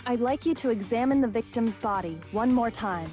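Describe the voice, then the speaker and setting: A man reading out a cool, composed teenage girl detective from a game, calm and instructive. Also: very high-pitched, moderate pace, pitched above the performer's own voice. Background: game music.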